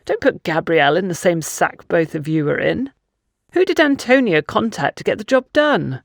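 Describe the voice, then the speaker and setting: Audiobook role: blunt male interrogator, read by a woman, lowish pitch, scolding with deliberate emphasis. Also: expressive, vocal fry, no echo, clean studio sound. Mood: accusing, stern. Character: stern man interrogating and scolding a young woman. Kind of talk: audiobook character dialogue.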